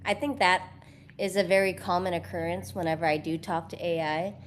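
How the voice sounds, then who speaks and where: A woman's voice: fairly low pitch, fairly even intonation, a little fast, a little hiss or hum. A woman in casual conversation, talking freely with many "like"s, restarts and laughs. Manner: chatty, lots of "like" and restarts, laughs often.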